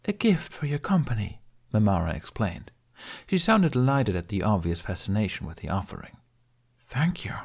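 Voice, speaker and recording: Man, low voice, audiobook narrator, scripted storytelling, fantasy fiction. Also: darkish tone.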